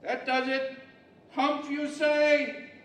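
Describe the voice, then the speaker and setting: An echoey, distant stage recording of an older man as a pompous djinn who rules the deserts. He speaks high and slowly, scolding like an imperious boss in old-fashioned radio theater. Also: little pitch movement, fairly bright tone, exaggerated voice. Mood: stern, scolding. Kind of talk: 1940s-style radio theater acting. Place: large library event room, live stage. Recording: distant, echoey live hall with faint noise.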